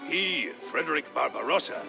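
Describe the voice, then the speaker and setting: An actor playing a boisterous tavern storyteller who narrates a medieval tale in a historical game, dramatic and relishing, with weighty pauses. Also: male voice, a little high, expressive, gravelly. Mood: ominous, emphatic. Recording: music behind the voice. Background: music.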